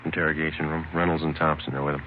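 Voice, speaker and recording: An actor playing a stoic American police detective in an old radio drama, speaking in clipped, deadpan phrases. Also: male voice, very deep voice, racing, background noise. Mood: subdued, terse. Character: stoic, matter-of-fact male police detective. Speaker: lead actor and narrator. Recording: muffled old radio broadcast, loud music.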